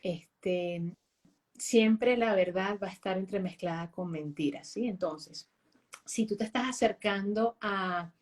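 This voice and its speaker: Animated woman talking about reading. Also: medium pitch, takes it slowly. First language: Spanish. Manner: animated, checks in often with short tag questions. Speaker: guest, regression therapist. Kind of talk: conversational interview answers.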